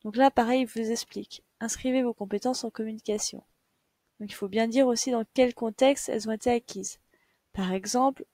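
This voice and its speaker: A woman's voice: fairly high pitch, warm tone, dry recording. A young woman giving a patient, step-by-step screen tutorial as a teacher, with many fillers. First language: French. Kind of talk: screen-share tutorial lesson.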